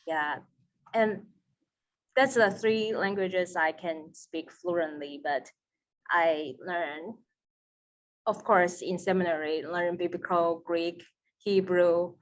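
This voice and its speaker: Taiwanese woman talking slow and careful on a zoom call, lowish voice, her english not her first language. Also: steady tone, low-quality recording. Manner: slow and careful, with some non-native grammar. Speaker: seminary student, interview guest. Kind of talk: interview over video call. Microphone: video call.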